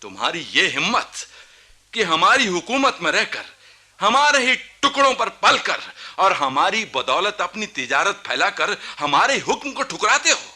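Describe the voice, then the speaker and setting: A man's voice: high voice, bright, forceful tone, exaggerated voice. A man playing a proud, wrathful young Indian ruler in a radio drama, speaking with imperious, accusing, formal courtly diction. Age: young adult. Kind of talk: theatrical Hindustani radio drama acting.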